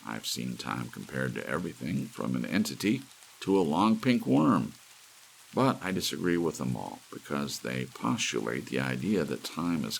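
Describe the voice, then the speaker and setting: Casting: narrator as intent elderly male scientist, audiobook sci-fi, lively swings of pitch, lecturing and sure of himself. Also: creaky, slight background noise, exaggerated voice, low-quality recording. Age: 60s. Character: brisk, brainy elderly male scientist, intent and self-assured.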